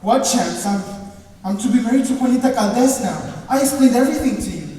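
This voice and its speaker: A man's voice: very high-pitched, expressive, a little fast, mellow. A young man playing an anguished bridegroom haunted by a spirit in a stage play. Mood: pleading, uneasy. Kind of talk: school stage play acting. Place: indoor theatre stage. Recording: echoey theatre stage, distant mic, faint noise.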